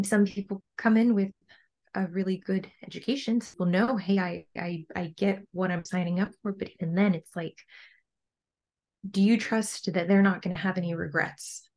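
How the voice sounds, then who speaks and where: Young woman, low register, heavy vocal fry, earnest and emphatic, casual podcast guest, coach. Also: darkish tone. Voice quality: low-pitched voice. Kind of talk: casual podcast interview talk.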